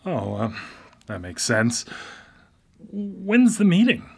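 A man's voice: fairly high pitch, wildly swooping pitch, takes it slowly, creaky, a little mellow, husky, full character voice. A man in a live radio drama playing a recently blind former engineer, hesitant and stammering with a wry, self-deprecating humor. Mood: awkward, wry. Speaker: lead character in a radio drama. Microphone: studio microphone.

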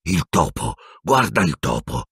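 A man voicing a desperate, haggard male escaped convict in a storybook reading, hoarse and urgently hissing. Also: very deep voice, sing-song, measured pace, a bit bright, dry recording, studio quality, exaggerated voice. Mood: desperate pleading.

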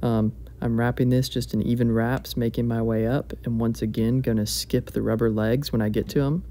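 A man narrates a hands-on craft tutorial in a calm, methodical way. His voice is mellow and dark, with very little rise and fall. He sounds relaxed and plainspoken as he explains each step. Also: medium pitch, moderate pace. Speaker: fly-tying instructor. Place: home fly-tying bench.

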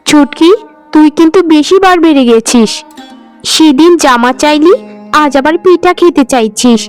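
A woman's voice: high voice, moderate pace, faint music behind, close to an everyday voice. A woman playing a poor maid's young daughter in a cartoon, girlish and earnest in manner. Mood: scolding. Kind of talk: animated Bengali folk-tale cartoon acting.

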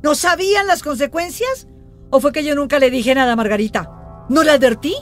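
A woman's voice: sits high, brisk, soft background music, lightly acted. An actress playing a stern, exasperated but sensible mother in a radio soap, scolding frankly with a Colombian accent. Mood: scolding, alarmed. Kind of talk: radio soap opera acting.

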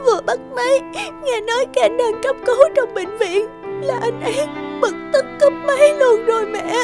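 A woman's voice: very high-pitched, moderate pace. A woman playing a distressed, clinging young mother and betrayed wife in a cartoon drama. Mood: desperate. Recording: loud music behind the voice.

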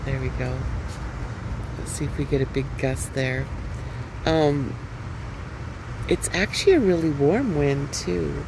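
A woman talking casually to a handheld phone camera from inside a small camper van, chatty and relaxed with easy laughs. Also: deep voice, takes it slowly, gravelly, pauses often, noisy background. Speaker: van life vlogger. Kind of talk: casual vlog narration.

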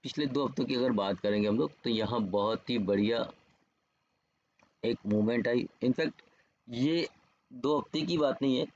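A man's voice: medium pitch, moderate pace, long pauses, a little mellow. A man explains markets informally as a trading educator, often checking in with the listener. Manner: explanatory, with repeated check-in tags. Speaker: stock trading educator and host. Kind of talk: informal market analysis over screen charts. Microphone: voice-over on screen recording. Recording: dull, muffled lo-fi voice-over.

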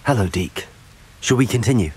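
A man's voice: fairly low pitch, animated, moderate pace, a little mellow, breathy edge. An actor playing a polite, composed young male student wizard in a video game. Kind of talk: scripted video game character acting.

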